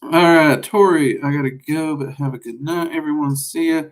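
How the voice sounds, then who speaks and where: A man's voice: a little high, steady tone, moderate pace, poor audio. A man with a Southern US accent hosting a casual livestream, answering viewers in a rambling, folksy way with lots of fillers. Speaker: livestream host teaching magic.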